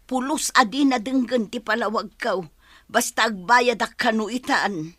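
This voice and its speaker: Casting: hard-up village mother, expressive, Ilocano accent, bright forceful tone, close dry sound. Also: on the high side, a little slow, no room sound. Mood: pleading. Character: hard-up, plain-spoken village mother owing money. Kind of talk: Ilocano radio comedy drama acting.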